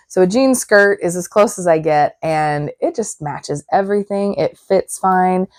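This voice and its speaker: A woman hosting her own crafting channel, chatting casually to camera while her voice is still hoarse and a bit froggy. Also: lowish voice, a little mellow, no room sound, clean studio sound. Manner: chatty and upbeat. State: hoarse, recovering voice. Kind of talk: casual vlog talk to camera.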